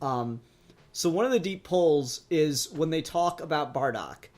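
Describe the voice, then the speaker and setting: Man, slightly high voice, casual review chat, enthusiastic, sweary, podcast co-host. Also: expressive, unhurried. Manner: sweary, enthusiastic fan talk.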